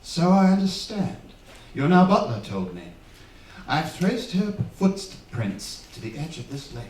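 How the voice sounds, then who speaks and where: A man playing a shrewd, commanding detective in a stage radio drama, with brisk, authoritative, formal delivery. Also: a little high, very animated, darkish tone. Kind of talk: theatrical radio drama acting. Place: theatre stage, live performance. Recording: roomy, distant stage miking, faint hiss.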